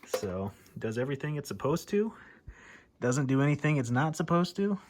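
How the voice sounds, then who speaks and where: A man's voice: medium pitch, sing-song, normal speed. A man giving a casual hands-on demo and calling out as a CB radio hobbyist. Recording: clear background radio noise.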